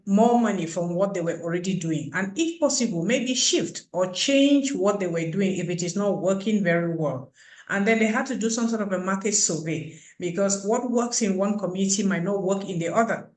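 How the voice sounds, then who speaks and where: A woman explains things earnestly over a video call, as if telling a story. Her voice has a middling pitch. It rings in an echoey room. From Cameroon. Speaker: country program coordinator.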